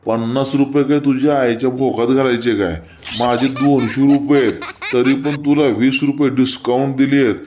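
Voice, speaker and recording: A man's voice: medium pitch, fairly even intonation, moderate pace, few pauses, lightly acted. Man playing an irate rustic Marathi farmer. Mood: angry haggling. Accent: rural Maharashtrian Marathi. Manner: blunt, rustic, repetitive haggling and cursing. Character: irate, stubborn, foul-mouthed village farmer complaining to customer care. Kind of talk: comic cartoon phone-call sketch. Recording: dull lo-fi audio under music.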